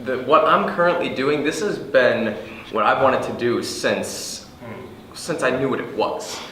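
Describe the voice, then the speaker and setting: A man speaking earnestly as an actor in a group discussion, haltingly, repeating words and restarting. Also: medium pitch, bright, forceful tone. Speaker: actor at a table read. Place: indoor room with a group. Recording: distant, very echoey room, noisy.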